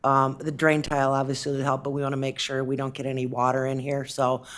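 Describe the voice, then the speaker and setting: A woman on city staff answering council questions at a meeting, speaking with frequent pauses and restarts. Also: very deep voice, completely flat delivery. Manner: hesitant, many fillers and restarts. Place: council chamber.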